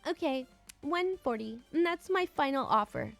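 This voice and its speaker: A stingy, penny-pinching woman haggles in a breezy, falsely sweet voice, as a storyteller puts her on. The tone rides high and swoops around as she brushes off every objection. Soft music plays faintly underneath. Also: expressive, normal speed, creaky, exaggerated voice. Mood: breezy, dismissive.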